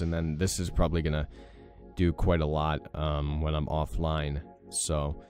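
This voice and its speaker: A young man with a deep morning voice hosting a let's play, chatting casually with lots of fillers. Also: a little mellow, smooth. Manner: rambling, many fillers, self-deprecating. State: morning voice with a crack. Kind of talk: casual gameplay commentary.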